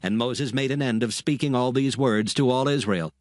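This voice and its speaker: A man narrating scripture in a measured, expressive reading. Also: mid-range voice, no room sound. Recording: dry close mic, light music.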